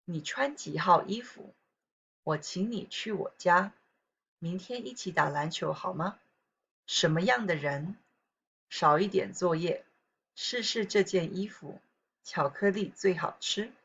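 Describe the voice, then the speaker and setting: A woman Chinese teacher with a southern Taiwanese accent, reading practice sentences slowly with casual asides to her students. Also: on the low side, lively intonation, fairly bright tone, a little hiss or hum. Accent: southern Taiwanese Mandarin. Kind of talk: recorded pronunciation lesson. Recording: dull lo-fi recording.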